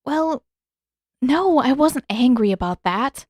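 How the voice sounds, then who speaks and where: A woman reads as an earnest teenage girl in a story. Her voice sits fairly high and moves expressively, with hesitant, conflicted phrasing. The sound is dry and close. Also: lively intonation, takes it slowly, a little mellow, no echo, full character voice. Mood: hesitant, subdued. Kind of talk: fan fiction audiobook dialogue.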